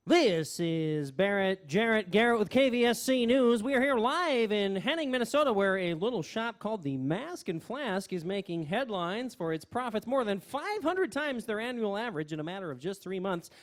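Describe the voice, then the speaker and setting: A woman's voice: medium pitch, normal speed, hardly any pauses, natural voice. A woman playing an earnest local news reporter doing an interview in a radio comedy sketch. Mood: excited, announcing. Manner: animated announcing, hesitant questioning.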